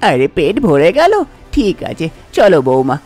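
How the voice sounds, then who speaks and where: A woman's voice: deep voice, very animated, moderate pace, mellow, faint music behind, voice pushed down. Woman acting a coaxing, scheming village mother-in-law. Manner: coaxing, insistent.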